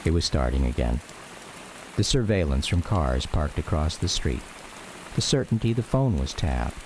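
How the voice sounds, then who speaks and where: A man narrating a radio drama as a reflective grown son recounting family history, measured and grave. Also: rumbling low, sing-song, background noise.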